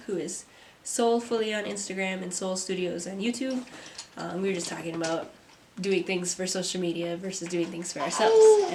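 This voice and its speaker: A young woman, a mother and artist, talking to camera from a script in a soft, reflective vlog; she sounds stuffy and congested. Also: mid-range voice, slight background noise. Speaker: vlogger, artist. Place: home. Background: kids playing.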